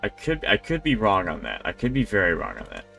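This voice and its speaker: Low, creaky-voiced man streaming a game. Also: wildly swooping pitch, a little fast, pushed and bright. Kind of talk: casual live gameplay commentary. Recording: game music faintly behind. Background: faint video game music.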